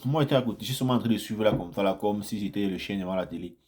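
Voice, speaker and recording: A man talking casually to viewers about his own experience, chatty and repetitive, getting animated as he tells anecdotes. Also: lowish voice, very fast. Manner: chatty, repeats phrases, grows animated in anecdotes. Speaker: vlogger sharing immigrant experience. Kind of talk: casual first-person storytelling to viewers.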